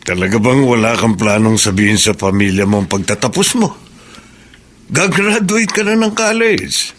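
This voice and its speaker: A man's voice: lowish voice, expressive, normal speed, fairly bright tone, soft background music. A man with a Filipino accent playing a kindly, wise mentor who gives fatherly counsel in a radio drama. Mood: caring, probing. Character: kindly, wise male mentor to a young prisoner.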